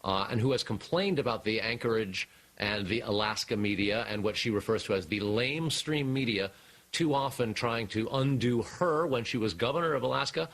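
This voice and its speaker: A man with an American accent reporting live as a television news correspondent, deliberate and emphatic. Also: mid-range voice, a bit bright, poor audio.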